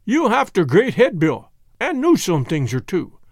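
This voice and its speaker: A man voicing a cunning, excitable condemned man who taunts in broken English with a thick Germanic accent, as in an audiobook story. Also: sits high, unhurried, no echo, studio quality, full character voice. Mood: slyly triumphant.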